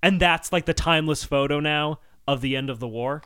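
A man's voice: a little high, a little fast, fairly bright tone, clear, resonant tone, dry recording, clean studio sound. A man co-hosting a comedy podcast, chatting casually in a teasing, mock-scolding way. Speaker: podcast co-host.

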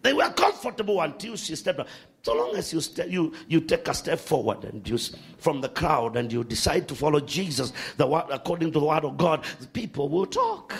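A male preacher delivering a sermon with a lively, swooping delivery. His voice sits fairly high and carries a gravelly, rough edge. He moves from loud bursts to quiet asides. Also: brisk. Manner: animated preaching, swinging from shouts to hushed asides.

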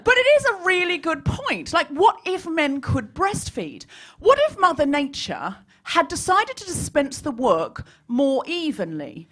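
A woman comedian with a high-pitched, swooping voice performs to a live audience. She is animated and mock-serious, playing up every point as a podcast host. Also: a little slow. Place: small live comedy venue. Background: audience laughter.

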